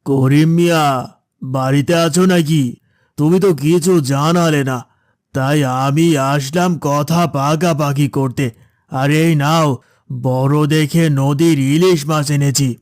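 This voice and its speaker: Casting: man as pushy cartoon village boss, higher-than-average male voice, domineering and wheedling, recorded dry. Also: fairly even intonation, unhurried, darkish tone, no echo, lightly acted. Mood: insistent. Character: pushy, scheming village headman demanding his money. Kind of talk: Bengali cartoon voice acting.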